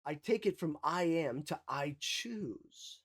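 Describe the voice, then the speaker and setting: A mindset coach giving an emphatic motivational talk, repeating key phrases, with a slight cough. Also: male voice, on the high side, animated, takes it slowly, husky.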